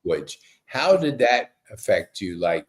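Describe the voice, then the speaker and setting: Slow-paced male podcast host, low voice. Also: sing-song. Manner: effusive, rambling, stop-and-start questions. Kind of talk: casual conversational interview.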